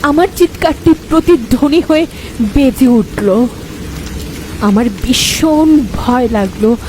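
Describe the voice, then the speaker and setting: A woman's voice: high-pitched, takes it slowly, mellow, slight background noise, poor audio. A woman narrating a first-person horror story in a dramatic, suspenseful way.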